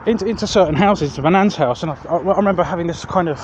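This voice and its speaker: Male walking vlogger, southeast English, high-pitched, rambling and hesitant, handheld mic beside noisy roads. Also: rapid-fire. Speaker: vlog host. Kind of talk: casual chat to camera while walking. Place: outdoors walking along roads. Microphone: handheld external microphone. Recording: muffled outdoor street audio, loud traffic noise.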